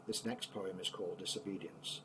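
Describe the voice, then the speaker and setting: An older man, a grandfather, reading children's poems aloud in an expressive, theatrical bedtime style. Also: deep voice, brisk, background noise.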